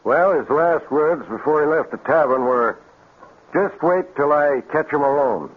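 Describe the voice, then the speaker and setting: Folksy sheriff, tinny old radio. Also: male voice, on the high side, expressive, slightly rough. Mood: measured testimony. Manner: folksy, deliberate. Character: small-town sheriff, plain-spoken, firm lawman. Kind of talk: 1950s radio drama acting. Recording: thin, phone-like vintage radio recording.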